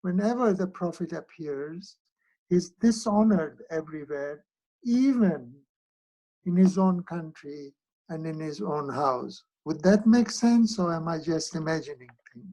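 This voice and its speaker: A man leading a study group on a video call, talking slowly and hesitantly with many fillers and restarts. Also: a little high, expressive, dark tone, low-quality recording.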